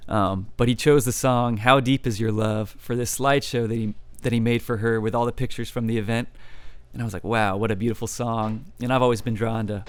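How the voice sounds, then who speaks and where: A young adult man, a musician guest with a baritone voice, chatting casually in an interview with relaxed, rambling talk and many fillers. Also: brisk, smooth.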